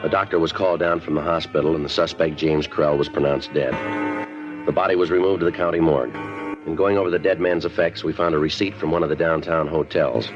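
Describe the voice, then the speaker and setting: An actor playing a terse, businesslike police detective in a 1950s radio crime drama, speaking in a clipped, matter-of-fact way. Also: male voice, very deep voice, steady tone, fast, over music. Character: businesslike, terse male police detective narrating his case. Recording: muffled 1950s radio recording.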